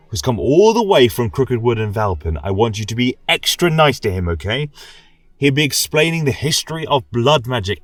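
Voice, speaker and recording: A man's voice: mid-range voice, dry recording, voice pushed up, lightly acted. A man playing a genial, rambling lecturer at a college of magic, speaking in a flowery, coaxing way in a scripted fantasy audio drama. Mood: brisk, coaxing. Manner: flowery, gently coaxing, chuckling scholar.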